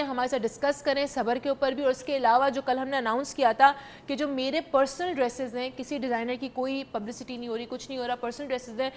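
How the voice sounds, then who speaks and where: A woman's voice: high-pitched, slight background noise. Chatty Pakistani woman hosting a TV show. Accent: Pakistani Urdu, mixing in English. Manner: chatty, mixing Urdu and English freely. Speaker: host. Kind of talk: live call-in talk show hosting. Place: TV studio.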